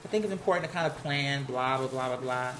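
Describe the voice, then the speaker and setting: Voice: mid-range voice, fast, fairly bright tone, low-quality recording. An American livestream host chatting casually in a campy, sassy, slang-heavy way. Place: at home, laundry running nearby. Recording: some household noise behind the voice. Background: laundry noise.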